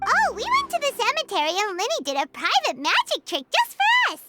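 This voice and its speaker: Teeny squeaky fairy sidekick for a game, super high, bouncy childish n whiny, loud music behind. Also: female voice, wildly swooping pitch, brisk, exaggerated voice. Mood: chatty, awkward. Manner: speaks of self in third person, whiny pleading. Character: tiny excitable female fairy companion, childlike and cheeky. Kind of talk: video game voice acting.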